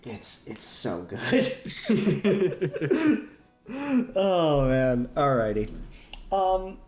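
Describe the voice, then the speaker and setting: Male host for informal podcast chat, easygoing and a little hesitant, animated pitch swings, roomy echoing sound. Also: drawn-out words, soft background music. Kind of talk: casual chat. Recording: echoey room recording.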